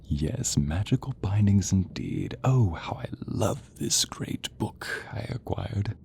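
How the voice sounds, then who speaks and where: A man performs a theatrical, sadistic male sorcerer for an audio roleplay. The voice is low and swings widely between silky menace and breathy whispers. Words are drawn out slowly as he addresses the listener directly. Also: animated, dark tone, full character voice. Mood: gloating, sinister.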